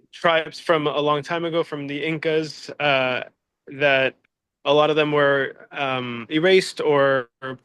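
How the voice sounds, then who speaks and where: A man's voice: a little high, a bit bright, smooth, dry recording. A man from Peru answering interview questions in a casual, hesitant way, with many fillers and restarts. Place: Seoul, South Korea.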